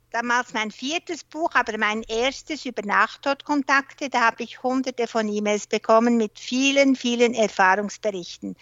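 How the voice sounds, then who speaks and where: A Swiss woman with a Swiss German accent, a guest researcher speaking German as a non-first language, who often pauses and searches for words. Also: a little high, a bit bright, no room sound, muffled recording. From Switzerland. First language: not German; works mainly in French and English. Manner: thoughtful, searches for words, frequent hesitations. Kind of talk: interview conversation.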